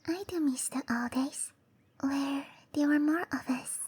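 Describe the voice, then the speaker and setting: A woman voicing a shy, gentle young cherry blossom dryad in a breathy, hesitant whisper for roleplay ASMR. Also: sits high, a little slow. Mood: wistful, tender. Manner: hesitant, bashful, softly spoken. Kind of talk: roleplay ASMR, intimate and slow.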